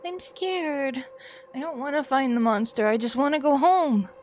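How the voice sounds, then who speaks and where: Woman voicing a high, timid, frightened child. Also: normal speed, a little hiss or hum, natural voice. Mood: scared pleading. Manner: timid, pleading.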